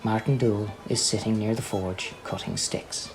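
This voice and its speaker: A man's voice: on the low side, steady tone, unhurried, soft background music, poor audio. A man reading scripted credits and stage directions as the announcer of a radio play. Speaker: announcer and narrator.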